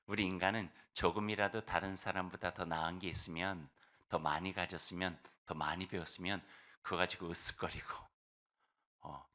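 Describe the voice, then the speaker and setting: A man preaching in a very low voice that still has a bright, forceful edge. He is hoarse from a cold. He talks to the congregation in an easygoing way, slowing down on key phrases. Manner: warm conversational preaching with fillers and slow emphatic phrases. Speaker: pastor. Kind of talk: sermon.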